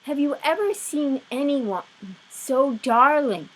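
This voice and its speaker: Woman reading as a doting, high-pitched storybook wife. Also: expressive, takes it slowly, a bit bright, heavily acted, low-quality recording. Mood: delighted, doting. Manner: gushing, tender. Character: excitable, wistful, doting married woman. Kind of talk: children's storybook character voice.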